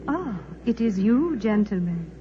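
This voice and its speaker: An actress in an old-time radio drama playing a polite, deferential young handmaid, formal and measured. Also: female voice, mid-range voice, sing-song. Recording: dull, hissy vintage radio recording.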